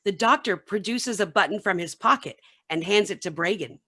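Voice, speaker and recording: A woman's voice: mid-range voice, moderate pace, fairly bright tone, slightly rough. A woman reading scripted narration and stage directions for a radio play.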